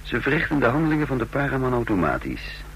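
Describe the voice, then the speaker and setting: An actor playing a bewildered fugitive with no memory, brooding and halting as he tells his story in a radio drama. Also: mid-range voice, sing-song, a bit bright. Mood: brooding, suspenseful. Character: bewildered amnesiac man, escaped condemned prisoner, telling his story. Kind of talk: radio drama acting, brooding first-person narration. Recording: noisy, humming old radio drama recording.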